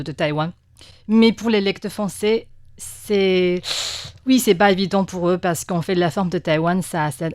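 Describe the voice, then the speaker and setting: A woman with a Taiwanese Mandarin accent speaks in a fairly low voice, with many fillers and restarts. She sounds like a second-language speaker answering interview questions. The recording is dry and close, like a studio mic. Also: a little mellow, clean tone, no room sound. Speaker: guest press cartoonist.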